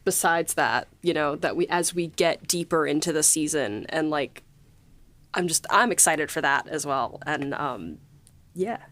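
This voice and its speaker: A woman hosting a casual behind-the-scenes chat, gushing in a halting, conversational way. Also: low-pitched, fairly bright tone. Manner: gushing, halting, peppered with 'like'. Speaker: podcast host and series writer.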